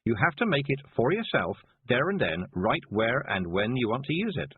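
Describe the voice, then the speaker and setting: A man voicing a kindly, patient woman witch who explains gently, with a husky voice. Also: medium pitch, big pitch swings, normal speed, no echo. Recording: dry tinny phone-like sound.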